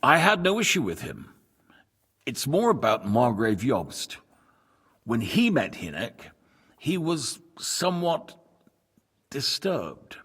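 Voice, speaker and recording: Husky, courteous medieval lord. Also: male voice, medium pitch, huge pitch swings, slow pace, pauses often, fairly bright tone, heavily acted. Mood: guarded, diplomatic. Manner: formal, guarded, hesitant. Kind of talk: dramatic video game cutscene acting.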